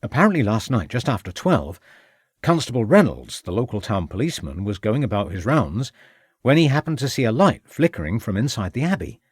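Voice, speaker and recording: A man's voice: fairly low pitch, very animated, a little fast, slightly rough, no room sound, clean studio sound. A man voicing a young, red-faced village constable who reports earnestly and deferentially.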